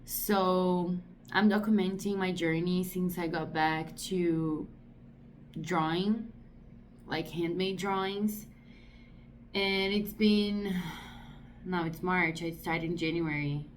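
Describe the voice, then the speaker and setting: Brazilian woman in her thirties, lower-pitched, vlogging slowly in English, thoughtful and searching for words. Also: fairly even intonation, slight background noise. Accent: Brazilian Portuguese. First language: Portuguese. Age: 30s. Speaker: artist vlogger. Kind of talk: casual talk to camera.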